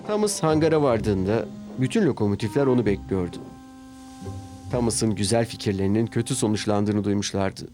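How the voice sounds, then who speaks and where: A man's voice: fairly low pitch, expressive, a little fast, mellow. A man narrating a scripted children's story as the storyteller. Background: background music.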